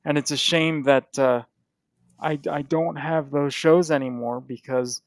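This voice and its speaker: A man's voice: on the high side, fairly even intonation, unhurried, no room sound. A man hosting a podcast, chatting casually in a rambling, profane way with frequent restarts. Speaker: podcast host. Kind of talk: casual chat.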